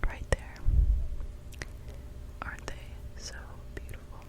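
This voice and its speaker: A young woman whispering gently and without hurry in an ASMR witch roleplay, speaking directly to the listener. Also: slow pace. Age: young adult. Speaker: ASMR performer.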